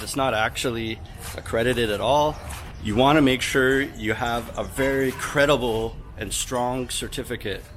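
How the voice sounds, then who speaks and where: A young man from Canada talking casually to camera as a vlogger about teaching abroad, relaxed and chatty with many fillers. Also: medium pitch. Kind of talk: casual vlog talk to camera. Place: outdoors in a city street. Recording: outdoor street noise behind.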